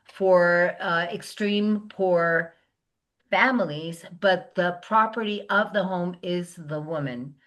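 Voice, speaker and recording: Slow, bright-voiced woman searching for words. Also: lowish voice, lo-fi sound. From United States. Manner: slow, searching for words, many fillers and restarts. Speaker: interview guest. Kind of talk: casual interview talk.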